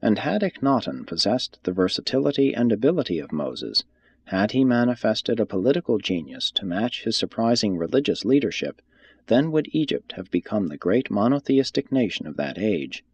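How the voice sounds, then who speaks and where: A man reading a religious text aloud as scripted narration, in a measured, formal manner. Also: lowish voice, very animated, slightly rough, no echo. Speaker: audiobook reader.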